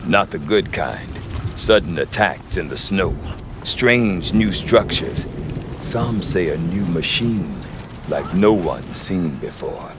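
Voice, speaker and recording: Deep-voiced, animated wry trader. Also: male voice, slow pace, background noise. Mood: wry, confiding. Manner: wry, hesitant, colloquial salesman patter. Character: folksy, chatty Oseram trader father, middle-aged, wry salesman. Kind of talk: video game character acting.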